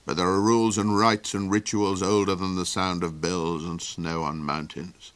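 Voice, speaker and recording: A man voicing a calm, gloomy servant who answers in a low, measured, matter-of-fact way.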